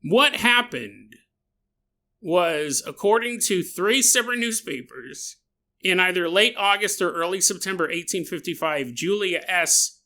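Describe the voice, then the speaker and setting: A male podcast host tells an odd story with a playful, joking manner, laughing often. His voice is bright and sharp. His pitch swings up and down, so the telling sounds animated. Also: measured pace. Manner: jokey, laughs often, acts out side remarks. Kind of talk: comedic paranormal storytelling.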